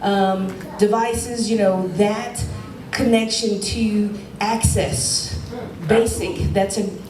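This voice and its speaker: A woman's voice: mid-range voice, fairly even intonation, slow, a little mellow. A woman asking a question from the audience at a town hall meeting, hesitant and full of fillers. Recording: echoey room from far off, noisy.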